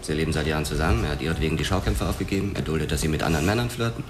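Noir radio play cop: blunt sardonic boxer, deep voice, very rapid probing questions. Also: male voice, background noise. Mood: probing, insistent. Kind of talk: radio drama dialogue.

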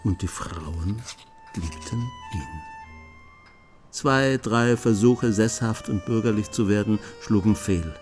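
An actor narrating a radio drama as a reflective writer, telling the story in a measured way. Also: male voice, fairly low pitch, darkish tone, faint music behind. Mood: measured, storytelling. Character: reflective male writer telling a thief's adventures. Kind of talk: scripted narration.

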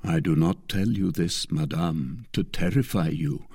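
A man's voice: sits low, measured pace, warm tone, husky, no echo, clean studio sound, pitched below the performer's own voice, exaggerated voice. A man voicing an ardent lovestruck nobleman, declaiming passionately in a dramatic audiobook reading. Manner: impassioned, declamatory.

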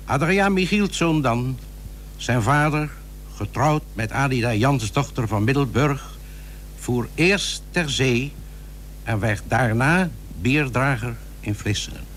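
A man narrates at a slow pace in a mid-pitched voice. His delivery is formal and solemn, suited to old-fashioned prose, with light hiss on an old radio recording. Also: fairly bright tone. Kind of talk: scripted narration of archaic biographical prose.

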